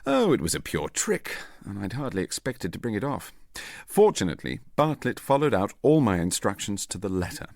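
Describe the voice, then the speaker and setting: A man reading as a self-assured British gentleman agent who recounts a clever trick in an urbane, methodical way. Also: on the low side, sing-song, brisk, dry recording, studio quality. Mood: self-satisfied. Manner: urbane, methodical, quietly pleased with himself.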